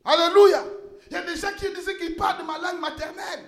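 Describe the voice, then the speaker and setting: A male pastor with an unusually high voice preaches with fervor, climbing into shouts and tripping over repeated words. His voice rings around an echoey church room. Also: steady tone, fast talker. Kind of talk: sermon.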